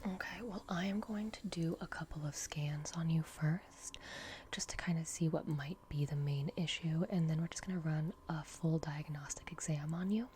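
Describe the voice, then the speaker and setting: A woman doing a gentle ASMR roleplay as a reassuring technician, speaking in an unhurried, breathy whisper. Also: low voice, background noise. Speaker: ASMR roleplay performer playing a repair technician.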